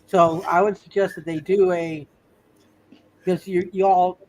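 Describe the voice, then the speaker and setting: A man running a tabletop roleplay game as game master, talking casually in a halting way and often repeating words. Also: high voice, level tone, darkish tone, low-quality recording.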